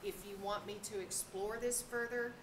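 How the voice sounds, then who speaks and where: A woman's voice: medium pitch, steady tone, normal speed. Hesitant woman in an echoey room. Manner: hesitant, full of ums. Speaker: school district staff presenter. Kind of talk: presenting at a public board meeting. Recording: echoey, noisy meeting room.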